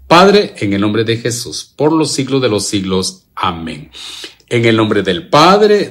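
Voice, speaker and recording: A man's voice: medium pitch, fairly bright tone. A man with a Guatemalan accent hosting a devotional gospel reflection, speaking directly to listeners, repeating phrases and answering his own questions. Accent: Guatemalan Spanish. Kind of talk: devotional gospel reflection to an online and radio audience.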